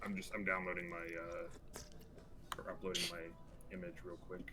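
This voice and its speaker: A man's voice: sits low, level tone, normal speed. A man playing in a tabletop game over a voice call, chatty and self-deprecating. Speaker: player. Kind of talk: casual tabletop game session.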